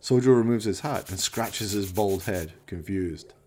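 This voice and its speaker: Male narrator, low pitch, husky rasp, arch and florid, dramatic radio play storytelling. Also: a little slow, a little mellow.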